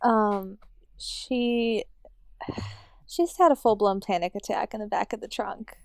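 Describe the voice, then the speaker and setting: A woman's voice: mid-range voice, fairly even intonation, slow, vocal fry, darkish tone, clear, resonant tone, no room sound, clean studio sound. A woman talking casually and hesitantly as a player in a tabletop game, describing her character. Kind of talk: casual improvised game chat.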